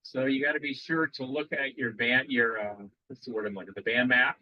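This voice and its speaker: A man's voice: medium pitch, steady tone, fairly quick, dry recording, highs cut off. A man giving a live demo at an amateur radio club meeting, rambling with frequent restarts and quizzing the audience.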